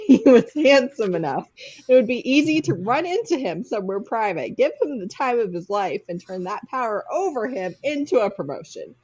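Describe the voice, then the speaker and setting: A woman with a slightly husky voice narrating a story expressively in an amateur reading. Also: mid-range voice, darkish tone. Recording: dull, lo-fi microphone sound.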